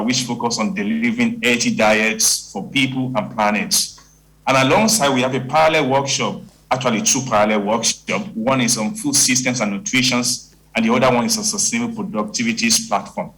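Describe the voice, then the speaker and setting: A man's voice: fairly low pitch, bright, forceful tone, rough recording. A man with a Nigerian accent co-hosting a forum and presenting a semi-scripted recap of events. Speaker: co-host of a forum.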